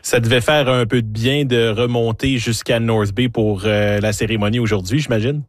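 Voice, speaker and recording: A man's voice: mid-range voice, fairly even intonation, moderate pace, no room sound, clean studio sound. A man interviewing a guest on the radio, asking long questions with fillers.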